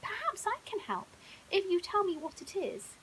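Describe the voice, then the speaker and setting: A woman's voice: very high voice, huge pitch swings, moderate pace, a bit bright, pitched above the performer's own voice, exaggerated voice, low-quality recording. A woman voicing a posh, self-satisfied, clever older female crocodile in a sing-song storybook manner. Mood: kindly. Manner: posh sing-songy delivery. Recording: hissy background noise.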